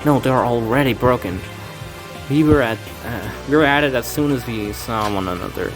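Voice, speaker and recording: A man's voice: mid-range voice, normal speed, music in the background. A man reading a fan game aloud, voicing its characters with casual asides and stumbles. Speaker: let's play fan dubber. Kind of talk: casual game playthrough with voiced dialogue.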